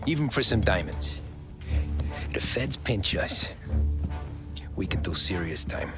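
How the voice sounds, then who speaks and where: An actor playing a level-headed, skeptical gangster in a crime video game, laconic and wry, with a streetwise manner. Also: male voice, low-pitched, big pitch swings, slow, exaggerated voice. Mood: wary, pensive. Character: cautious, level-headed male gangster, skeptical getaway driver. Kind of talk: cinematic crime game acting. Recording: loud music under the dialogue.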